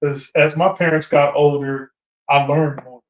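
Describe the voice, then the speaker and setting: A man's voice: a little high, steady tone, unhurried. A man chatting and debating casually as a talk show panelist, often hesitating and repeating himself. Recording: echoey room, dull lo-fi sound.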